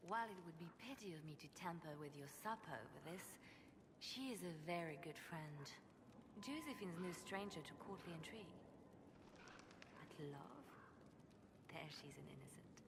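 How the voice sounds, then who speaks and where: A measured, cautioning spymaster with a lowish voice. She drops into near-whispers, and the sound carries a long reverb with loud ambience beneath it. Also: lively intonation, background noise. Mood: stern. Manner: measured, quiet and cautioning, drops to hushed tones. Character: guarded female spymaster, protective of friends. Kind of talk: video game dialogue.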